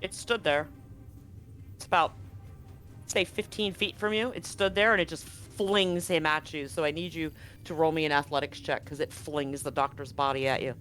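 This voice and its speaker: A man with a slightly high voice runs a tabletop roleplaying game as game master. He improvises narration and talks through rules, with music playing faintly behind him. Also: fairly even intonation, moderate pace, pushed and bright.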